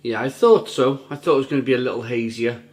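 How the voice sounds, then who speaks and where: A man hosting a beer review channel, talking casually to camera with a gruff, rough throat, enthusiastic and chatty, often repeating himself as he tastes. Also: medium pitch, quick pace. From England.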